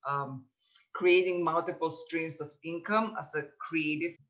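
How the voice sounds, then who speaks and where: A woman in her forties with a Bulgarian accent speaks to a workshop audience in a bright, clear-edged voice. She sounds earnest and measured, stopping now and then to search for the right word. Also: sits low, fairly even intonation. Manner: earnest, measured, pauses often to find words. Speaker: guest speaker. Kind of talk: personal talk to a workshop audience.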